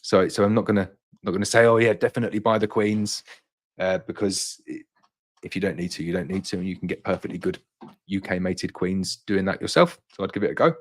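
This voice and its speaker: A man's voice: sits low, steady tone, fairly quick. A young man hosting a casual live-stream Q&A and answering viewers' questions, his throat a little tired from a long day of talking. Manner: reads viewer comments aloud in a brighter voice, then answers plainly.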